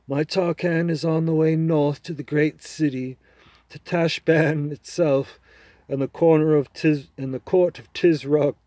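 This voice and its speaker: A man voicing a proud, scornful male talking horse in a storybook reading, loud and lecturing. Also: fairly high pitch, steady tone, measured pace, no echo, voice pushed up, close to an everyday voice. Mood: confiding. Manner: haughty, lecturing, loud and emphatic.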